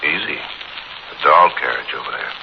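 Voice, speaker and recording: An actor playing a cool, wounded escaped killer in a 1940s radio thriller, with mock courtesy, taunts and clipped orders. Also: male voice, very deep voice, moderate pace, vocal fry, muffled recording. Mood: taunting. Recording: noisy band-limited 1940s radio recording.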